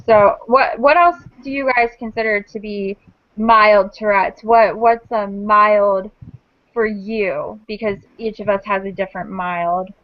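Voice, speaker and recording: A woman in her twenties leads a relaxed group conversation as host. She speaks in a steady, explanatory way, sometimes restarting her sentences. The sound is dull and dry. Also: mid-range voice, no echo. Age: 20s. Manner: measured, explanatory, with small restarts. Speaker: host of a panel discussion. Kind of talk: casual group video chat.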